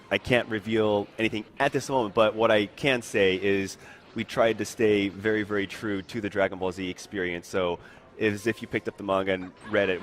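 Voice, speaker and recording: A man relaying a game developer's answers in an interview, in a rapid, measured delivery. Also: fairly low pitch, a little hiss or hum.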